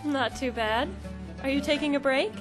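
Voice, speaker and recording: An actress playing a poor, kind village wife and mother who speaks gently and reassures others. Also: sits high, normal speed, faint music behind, lightly acted. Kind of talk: dubbed drama acting.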